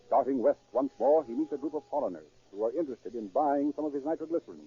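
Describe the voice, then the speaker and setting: A male radio narrator drives a crime story along at a rapid clip. The delivery is scripted 1930s drama, with a slightly rough, unclear voice on a dull vintage recording. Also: mid-range voice, lively intonation, warm tone.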